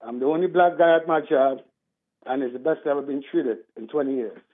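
A man's voice: medium pitch, a little fast. Man calling in by phone. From Trinidad. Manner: earnest, rambling. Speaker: caller. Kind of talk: call-in talk radio. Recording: tinny phone line.

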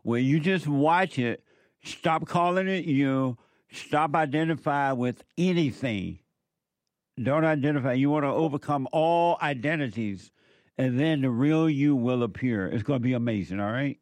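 A man's voice: mid-range voice, measured pace, a little mellow, no echo. A man in his seventies hosting a call-in radio show, giving blunt, preachy advice to callers. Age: 70s.

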